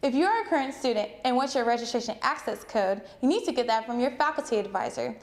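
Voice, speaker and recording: A woman with a fairly high voice delivers scripted informational narration. She speaks as an advising presenter, addressing students directly and explaining things step by step. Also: expressive, fast.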